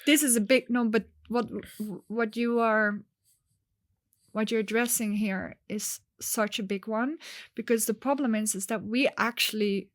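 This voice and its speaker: A woman's voice: a little high, fairly even intonation, measured pace, no room sound. A woman coach raised in Nepal, speaking earnestly and expressively in a conversational interview, with emphatic points.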